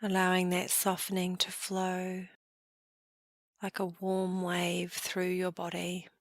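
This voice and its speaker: A woman leading a guided meditation in a calm, gentle way, with soft trailing phrases. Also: lowish voice, slow, no room sound. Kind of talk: guided meditation, slow soothing narration.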